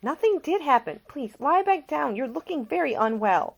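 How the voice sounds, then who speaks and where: A woman's voice: high-pitched, very animated, moderate pace, vocal fry, pitched above the performer's own voice, exaggerated voice. Reader as a determined, caring independent woman. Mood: soothing, worried. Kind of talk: audiobook character dialogue.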